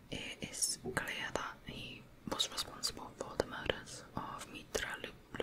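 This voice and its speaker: Female ASMR host needed for true crime narration, delivered entirely in a breathy whisper with an informal, explanatory touch. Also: rough recording. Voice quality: unvoiced whisper.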